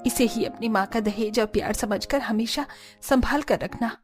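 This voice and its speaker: A woman's voice: on the high side, normal speed, over music, lightly acted. A woman voicing a tender, humble, poor middle-aged mother in a cartoon story.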